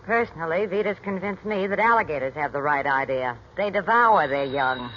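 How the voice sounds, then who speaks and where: A woman's voice: low-pitched, lively intonation, normal speed. An actress playing a blunt, wry, cynical female friend in a 1940s radio drama. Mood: dry, wry. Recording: muffled, noisy 1940s radio recording.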